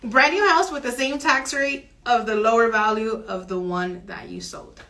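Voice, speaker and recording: A woman mortgage broker explaining home financing options in an earnest, steady advisory chat. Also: fairly high pitch, normal speed.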